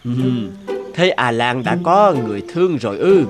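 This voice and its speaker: A man playing a gentle, encouraging old village elder in a fairy-tale cartoon dub. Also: mid-range voice, very animated, normal speed, music in the background, close to an everyday voice. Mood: kindly.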